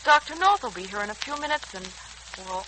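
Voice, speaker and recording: An actress playing a dutiful young nurse, formal and firm, in a 1940s-style radio serial. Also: female voice, sits high, very animated, a little fast, pushed and bright, full character voice, low-quality recording. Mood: polite, firm. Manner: formal, polite but firm. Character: dutiful, steadfast young nurse standing her ground. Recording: old radio recording, faint hiss.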